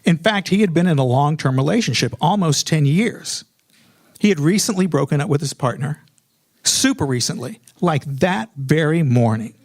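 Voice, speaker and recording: American man, middle-aged, slightly high voice, comic personal storyteller, animated, self-mocking. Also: moderate pace. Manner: animated, self-mocking, acts out remembered dialogue. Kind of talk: live personal storytelling, comedic.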